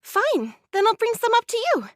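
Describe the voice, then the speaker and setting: A devout young female android in an anime-style game. Her high voice is polite and gentle. The recording is close, with no room sound. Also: huge pitch swings, normal speed, dry recording, heavily acted. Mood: teasing.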